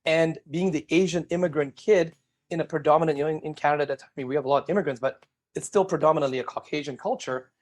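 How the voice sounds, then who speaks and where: A middle-aged man from Singapore, a guest telling his life story in an energetic interview and addressing the viewers directly. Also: a little high, rapid-fire.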